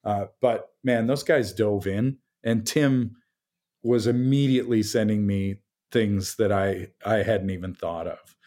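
A man with a deep voice, a game designer talking casually in an interview, relaxed and full of fillers. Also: unhurried. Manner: relaxed, chatty, many fillers and restarts.

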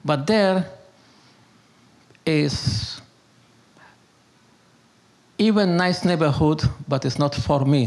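An older Hungarian man speaks in a fairly high voice with a gravelly creak. His Hungarian accent is plain, and his English is halting and broken. He talks off the cuff like a storyteller and philosopher, repeating words for emphasis. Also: a little mellow. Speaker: interviewee telling his life story. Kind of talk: unscripted conversational storytelling and philosophizing.

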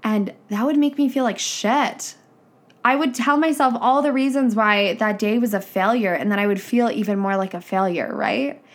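A woman's voice: fairly high pitch, normal speed. Young woman, nasal and congested from a cold; frank, chatty business coach on a podcast. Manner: chatty, frank, says "like" and "right?" often. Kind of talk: casual podcast monologue.

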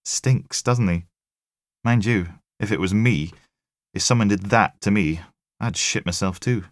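A man's voice: fairly low pitch, a little slow, dry recording, natural voice. A man voicing a weary, blunt, foul-mouthed female forensic technician in a crime audiobook. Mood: wry, grumbling.

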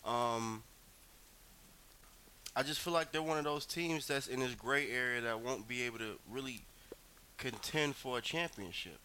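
A man's voice: medium pitch, measured pace, pauses often, bright, forceful tone, a little hiss or hum. A man co-hosting a sports podcast, sounding nasal and stuffed up, talking in a laid-back way. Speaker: podcast co-host. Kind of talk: casual sports chat.

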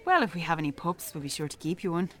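A woman's voice: on the low side, sing-song, quick pace, a bit bright. An actress in a radio drama playing a polite, chatty Irish married woman who talks plainly and sociably. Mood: chatty, curious. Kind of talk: naturalistic radio drama acting.

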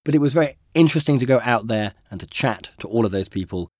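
A man co-hosting a podcast, hoarse from a cold, chatting in a dry, wry, self-mocking way. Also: medium pitch, expressive, fast, no room sound. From United Kingdom. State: hoarse from a cold, voice deeper than usual. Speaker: podcast co-host. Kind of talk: casual chat.

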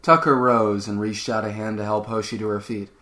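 A man's voice: on the low side, little pitch movement, fairly quick, bright, forceful tone. A man narrator giving a scripted dramatic reading of fan fiction.

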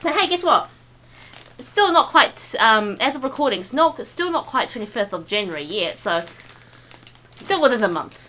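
A woman with a New Zealand accent co-hosting a podcast, playful and teasing with deadpan jokes. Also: on the high side, moderate pace. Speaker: podcast co-host. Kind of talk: casual podcast banter.

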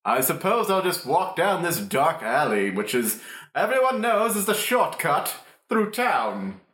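A woman's voice: low-pitched, very animated, a little slow, fairly bright tone, heavily acted. A woman playing a pompous, bumbling male police detective with a wordy manner in an improvised comic radio play. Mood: pompous, musing. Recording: roomy, echoey recording.

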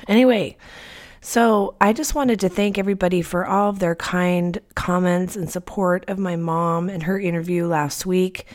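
A woman's voice: on the low side, fairly even intonation, normal speed, darkish tone. A woman hosting a solo podcast in a casual, personal, confiding way, her voice congested from a cold. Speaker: podcast host.

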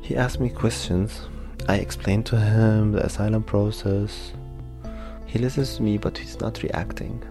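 A young man from Egypt narrating a personal story in a quiet, reflective, plain way. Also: fairly low pitch, gravelly.

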